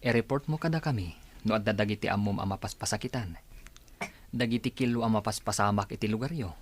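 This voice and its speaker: A man's voice: on the low side, quick pace, slight background noise, close to an everyday voice. A man with an Ilocano Filipino accent acting a coaxing young gang leader in a radio drama.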